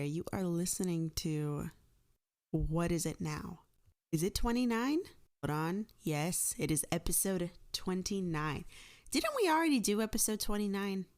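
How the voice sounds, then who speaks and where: A woman with a somewhat low voice chats informally as a podcast host. She rambles, jokes and pokes fun at herself, as if talking off the cuff. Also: a little mellow. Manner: joking, self-deprecating, informal. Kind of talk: casual, rambling podcast outro.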